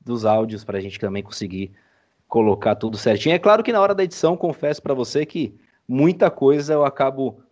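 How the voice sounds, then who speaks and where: Brazilian male podcast guest, medium pitch, casual explanatory delivery. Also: animated, fairly quick, a little mellow. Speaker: podcast guest, audio drama maker.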